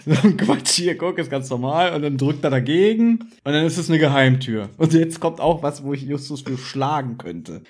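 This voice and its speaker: A man with a slightly high voice hosts a casual podcast chat. He retells a story in an animated manner, slipping into quoted lines and commenting on them as he goes. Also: normal speed, few pauses, husky. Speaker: podcast host.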